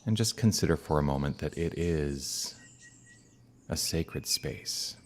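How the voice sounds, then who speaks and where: Man, very deep voice, calm minister, guided meditation, soothing pauses, faint birdsong and ambient noise.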